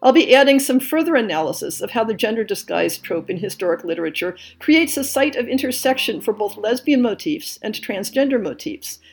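A woman hosting a podcast, reading a scripted monthly update in a measured way. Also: on the high side, darkish tone, slightly rough. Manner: measured, reads lists of titles and blurbs. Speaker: podcast host.

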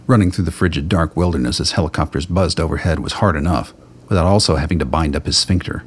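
Very deep-voiced male narrator. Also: slightly rough. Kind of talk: scripted radio play narration. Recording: faint noise and ambience.

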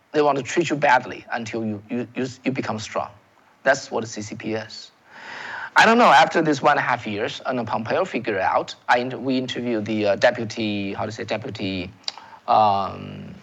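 A man's voice: medium pitch, a bit bright. A man with a Chinese accent delivers political commentary in a mid-range voice, pressing his points hard and repeating words as he searches for phrasing. The audio sounds slightly dull and lo-fi. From China. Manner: emphatic, repeats words and restarts, addresses viewers as folks.

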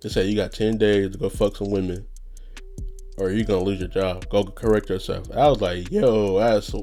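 A man's voice: lowish voice, fairly even intonation, normal speed, mellow. A young man talking in a loose, rambling, profane monologue, a little nasal, laughing often. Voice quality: slightly nasal. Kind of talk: casual monologue.

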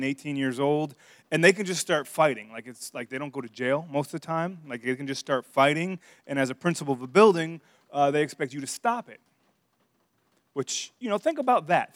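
A man's voice: medium pitch, no echo. A man preaching through casual anecdotes in a joking, self-deprecating way. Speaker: preacher, also a school principal.

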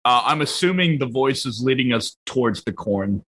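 A husky man of middling pitch plays a tabletop roleplaying game. He narrates his character's actions and lines in a casual way. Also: expressive, normal speed, a bit bright, dry recording.

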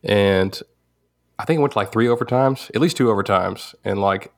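Twenties male co-host, lowish voice, loose rambling sports talk. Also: normal speed, creaky. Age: 20s. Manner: rambling, many restarts. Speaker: podcast co-host. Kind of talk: casual sports chat.

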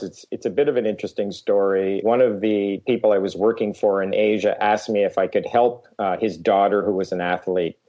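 A middle-aged man who is getting over a cold talks as a guest on an interview show. His voice sits low and sounds dark and mellow. He speaks in a relaxed, steady way and often pauses on "uh". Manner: relaxed, steady, with frequent uh fillers. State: recovering from a cold. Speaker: guest performance coach. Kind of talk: casual interview talk.

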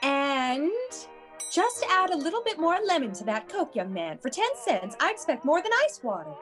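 A wry, busybody house mother type played by a woman in an old-style radio play. Her voice is very high and highly animated, arch and knowing. Music is clearly heard behind her. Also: moderate pace. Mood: fussy. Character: wry, meddling former sorority house mother, matchmaking confidante. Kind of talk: performance of a classic radio play.

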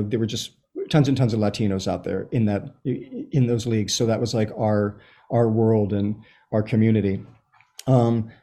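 Mellow American man in his fifties. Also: mid-range voice. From Los Angeles, USA, born in Chile. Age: 50s. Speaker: interview guest, soccer writer and supporter. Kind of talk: reflective conversational interview answers.

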